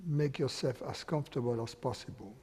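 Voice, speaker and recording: A man leading a guided meditation, speaking slowly with long pauses between phrases. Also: medium pitch, dark tone, slightly rough, in a large hall. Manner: slow, unhurried, with long pauses. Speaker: meditation teacher.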